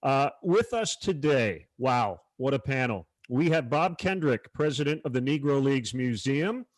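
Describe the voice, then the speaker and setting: A man's voice: mid-range voice, expressive, moderate pace, no echo. Man hosting a panel, dry sound. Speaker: panel host, baseball announcer. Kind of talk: hosting a virtual panel discussion.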